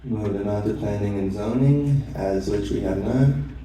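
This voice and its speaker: A man's voice: fairly low pitch, unhurried, warm tone. A man chairing a town board meeting, reading procedural items plainly with frequent fillers. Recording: echoey hall, far mic, faint noise.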